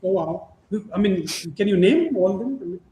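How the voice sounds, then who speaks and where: A middle-aged man hosting an interview, talking in halting starts with many fillers and frequent laughs. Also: high-pitched, expressive, dark tone, dull audio. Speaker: podcast host. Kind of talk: casual interview chat. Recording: echoey room with faint ambient noise.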